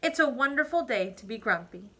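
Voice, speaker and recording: A blunt but kindly gorilla neighbour from a storybook read by a woman. The remarks are matter-of-fact, in a bright, higher voice that moves around a lot. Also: animated, fairly quick, a little hiss or hum. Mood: glum acceptance. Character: blunt, well-meaning male gorilla neighbour.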